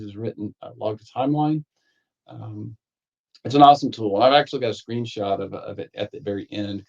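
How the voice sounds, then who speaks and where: A man, the course author, walking through a technical course in a relaxed, rambling way with lots of fillers and restarts, his voice a little raspy. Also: medium pitch, dull audio. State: slightly raspy voice, needs a cough drop. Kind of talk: conversational technical course walkthrough.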